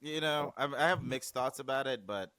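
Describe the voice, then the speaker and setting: A man's voice: medium pitch, normal speed, crowd noise. A man whose first language is Swahili, a music producer talking animatedly in a casual interview, often trailing off and restarting.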